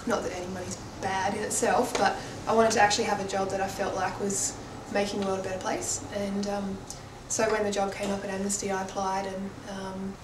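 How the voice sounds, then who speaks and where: A woman speaks earnestly about her own experience in an interview, with a flat, mid-pitched delivery. The sound is echoey with noticeable noise. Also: a little fast, few pauses. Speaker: human rights organisation staffer. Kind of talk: personal interview account. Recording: echoey, distant mic, noisy background.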